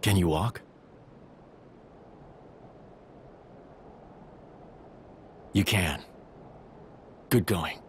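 Terse, cool male warrior, game cutscene. Very low register, faint ambience underneath. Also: slow pace, a little hiss or hum. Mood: terse, calm. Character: stoic, terse swordsman, cool and watchful. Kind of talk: video game cutscene acting.

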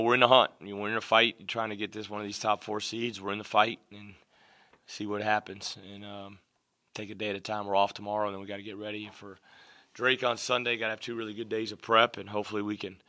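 A middle-aged man, a basketball coach, answering questions at a postgame press conference from behind a desk mic, measured and full of "um" and "you know". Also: on the low side, little pitch movement, bright, forceful tone, no echo, clean studio sound. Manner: measured, lots of "um" and "you know", repeats phrases. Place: press room, seated at a table before a logo backdrop. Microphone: desk mic on a stand in front of him.

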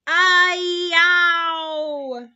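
A woman's voice: on the high side, lively intonation, moderate pace, pushed and bright, muffled recording. A woman voicing a huge brutish man-eating giant for a storybook, bellowing and screeching in terror with broken grammar. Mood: panicked, pleading.

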